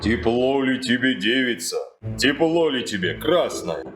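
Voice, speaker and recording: An actor playing an old frost spirit in a fairy-tale audio play, with teasing, drawn-out, singsong questioning. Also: mid-range voice, very animated, over music. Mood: teasing, testing.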